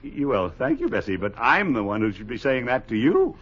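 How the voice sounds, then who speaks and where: Casting: pompous middle-aged official, flustered and blustery, widely swooping pitch, vintage radio comedy. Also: male voice, wildly swooping pitch, brisk. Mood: fond, sentimental. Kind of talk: 1950s radio comedy acting. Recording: dull, faintly noisy old radio recording.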